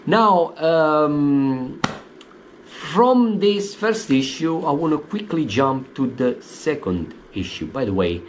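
A man's voice: takes it slowly, slight background noise. A man in his forties with an Italian accent, giving opinionated football commentary from his living room with many hesitant fillers. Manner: hesitant, many uh fillers, animated pitch swings. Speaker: football fan vlogger. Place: living room at home, indoors.

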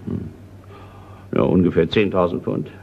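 Radio crime drama: older gentleman colonel, courteous, hesitant, creaky voice, muffled noisy recording. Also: low-pitched, normal speed. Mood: businesslike, courteous. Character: retired colonel, older male art collector, courteous Scottish host. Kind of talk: German radio crime play acting.

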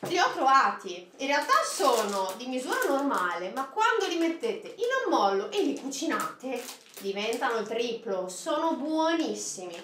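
A woman's voice: high-pitched, very animated, moderate pace, a bit bright, a little hiss or hum. A woman in her thirties presenting a food box unboxing, talking straight to viewers, asking them questions and giving recipe tips from a small indoor set. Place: small indoor studio set with produce crates. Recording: echoey room.

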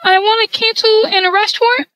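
A scambaiter putting on a high, squeaky female voice to needle a phone scammer with mock-innocent questions. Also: steady tone, fairly quick, bright, forceful tone, rough recording. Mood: prodding.

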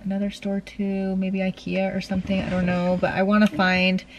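A woman's voice: mid-range voice, completely flat delivery, normal speed, highs cut off, slight background noise. A middle-aged American woman chatting casually to viewers, informal and thinking aloud as she goes. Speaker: family vlogger.

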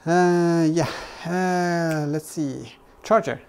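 Halting higher-pitched man. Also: creaky, darkish tone, smooth. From Norway. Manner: halting, hesitant, repeats words. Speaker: vlogger, DIY car channel host. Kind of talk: casual unscripted vlog explanation. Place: home garage workshop, indoors.

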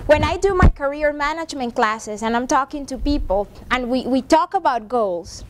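High-voiced woman giving a workshop. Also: expressive, normal speed, slight background noise. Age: 40s. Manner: conversational, frequent "right?" checks with the audience. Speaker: workshop presenter. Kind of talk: presenting a professional development workshop. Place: classroom with a seated audience, projector screen. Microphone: far room camera.

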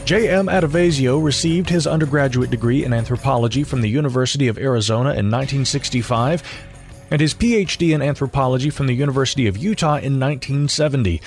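A man hosting an audio journal, reading a formal, measured introduction of his guest. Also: mid-range voice, soft background music. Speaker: podcast host.

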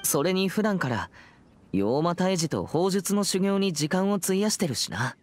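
A man playing a serious, reserved teenage boy exorcist in a Japanese anime game, speaking formally and in a measured way. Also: high voice, animated, darkish tone, a little hiss or hum. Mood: reflective, earnest.

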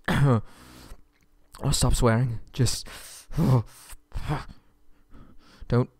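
A man's voice: mid-range voice, little pitch movement, drawn-out words, a little mellow, no echo. A man playing a haughty but frightened young kidnapped prince in an ASMR roleplay audio drama, stammering and pleading, close on the mic. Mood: panicked, submissive.

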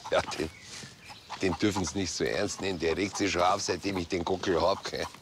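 Man as a deep-voiced placid Bavarian farmer. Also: steady tone, fairly quick, natural voice. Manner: easygoing. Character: placid male Bavarian farmer soothing others. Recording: clear farmyard noise with poultry.

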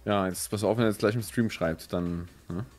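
A man's voice: lowish voice, normal speed, a little mellow. A man with a German accent bantering jokingly and casually as co-host of a gaming video. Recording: game music behind the voice. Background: video game music.

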